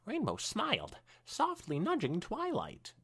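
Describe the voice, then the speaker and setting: A man's voice: high-pitched, huge pitch swings, unhurried, a bit bright, husky. A man co-hosting a podcast, narrating a story aloud and breaking into casual banter. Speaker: podcast co-host.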